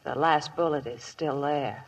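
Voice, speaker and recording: A woman's voice: deep voice, unhurried, poor audio. Plucky, wistful frontier woman. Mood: tender, wistful. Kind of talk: 1950s radio western acting.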